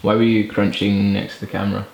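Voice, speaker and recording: Gravelly-voiced man behind the camera. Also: fairly low pitch, flat delivery, quick pace, a little mellow, reverberant. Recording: noisy background.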